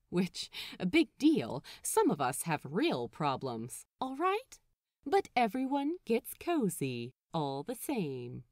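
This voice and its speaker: Female audiobook reader, wry and playful, hugely varied intonation, measured pace, dry close recording. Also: lowish voice, a little mellow, dry recording. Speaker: audiobook narrator. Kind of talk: expressive fan fiction narration.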